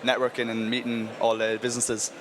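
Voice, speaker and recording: Young Irish male student, rapid and nervous, noisy floor. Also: mid-range voice, completely flat delivery. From Cork, Ireland. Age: young adult. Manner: nervous, lots of "like".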